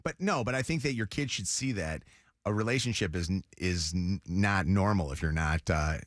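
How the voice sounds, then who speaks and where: A man's voice: low-pitched, animated, moderate pace, no echo, clean studio sound. A man hosting a morning radio show, telling stories in a rambling way with lots of restarts. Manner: rambling storyteller, restarts a lot. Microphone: studio mic.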